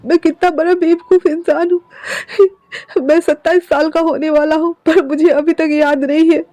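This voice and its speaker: A sobbing, frantic young man, his high voice breaking as he begs for forgiveness, as a woman storyteller puts him on. The tone is mellow and close, dry with no room sound. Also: little pitch movement, normal speed, no room sound. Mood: frantic crying. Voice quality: sobbing, pleading. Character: grief-stricken young man Wing, desperate and sobbing.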